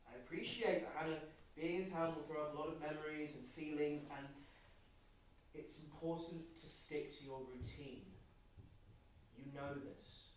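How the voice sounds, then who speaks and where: A man's voice: fairly high pitch, fairly even intonation, close to an everyday voice. Echoey, distant male therapist, played by an actor. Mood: concerned, persuasive. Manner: measured, hesitant, gently insistent. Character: earnest male therapist leaving a concerned voicemail for client. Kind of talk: naturalistic audio drama acting. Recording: very echoey, distant, faint noise.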